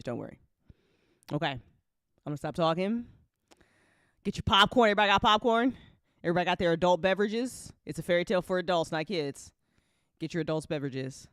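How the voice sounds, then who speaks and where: Clear-voiced, effusive woman hosting a livestream. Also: fairly low pitch, dry recording, studio quality. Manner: effusive, repeats phrases, swears freely. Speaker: host and voice actor. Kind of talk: excited casual livestream chat.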